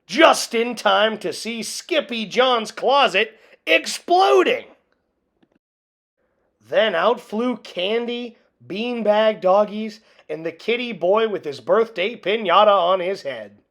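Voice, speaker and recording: A woman reads a picture book aloud for children. Her delivery is theatrical and swings widely in pitch, with dramatic pauses and a slow, slightly creaky voice. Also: animated. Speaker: storybook reader.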